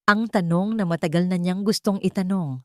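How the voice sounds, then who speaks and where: A woman narrating a scripted romance story in an expressive, dramatic manner. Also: lowish voice, normal speed, no room sound, studio quality.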